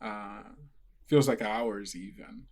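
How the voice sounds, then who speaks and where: A game master narrating a tabletop roleplaying game casually, in a halting, conversational and descriptive way. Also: mid-range voice, crowd noise.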